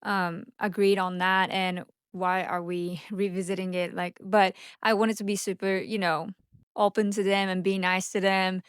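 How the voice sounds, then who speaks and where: A woman's voice: medium pitch, little pitch movement, moderate pace, clear, resonant tone, no echo, studio quality. A woman who speaks Japanese as her first language, chatting candidly and unscripted to camera like a vlogger, sometimes stumbling over her words. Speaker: vlogger and social media marketing agency owner. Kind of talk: unscripted casual chat to camera.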